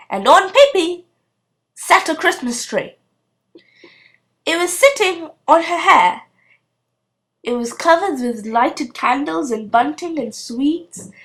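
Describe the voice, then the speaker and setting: A woman reading a children's story aloud as an expressive narrator. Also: sits high. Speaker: storybook reader.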